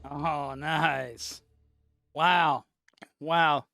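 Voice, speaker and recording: A man's voice: a little high, fairly even intonation, drawn-out words, vocal fry, pushed and bright, soft background music. A man giving casual fan reaction commentary as host of a reaction video.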